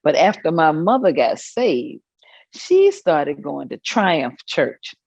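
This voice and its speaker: A woman's voice: deep voice, big pitch swings, takes it slowly, creaky, darkish tone, slightly rough, no echo, exaggerated voice. An actress performing an elderly church-going woman's recollections in a staged reading, folksy and full of small asides. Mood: fond. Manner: folksy storytelling with hummed asides and chuckles.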